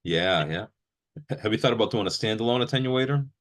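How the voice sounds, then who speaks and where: A man with a deep, low-pitched voice hosts a casual interview. He is informal and agreeable, often doubling short affirmations before easing into his questions. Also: expressive, quick pace. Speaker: interviewer and video host.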